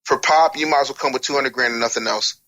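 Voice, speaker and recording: A man's voice: medium pitch, quick pace, pushed and bright. A man speaking candidly and emphatically as an interview guest, blunt and opinionated, with slangy phrasing. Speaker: radio personality interviewed as a guest.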